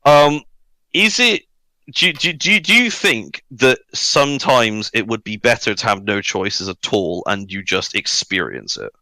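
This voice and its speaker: A man chatting casually on a group podcast as a panellist, excitable and prone to joking. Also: lowish voice, unhurried, no room sound.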